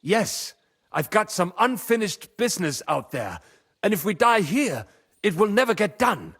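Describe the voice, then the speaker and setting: A man's voice: high voice, sing-song, measured pace, slightly rough, full character voice. A man playing a blunt, defiant, driven medieval fighter in a game. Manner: blunt, forceful. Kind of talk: dramatic game cutscene acting.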